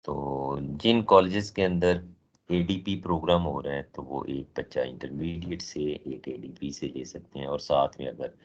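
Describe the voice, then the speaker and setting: Very deep, slow man with a sore throat. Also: lo-fi sound. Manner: measured explanatory delivery, mixing in English phrases, frequent "uh" pauses. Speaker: lecturer at a teacher training. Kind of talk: slow recorded lecture.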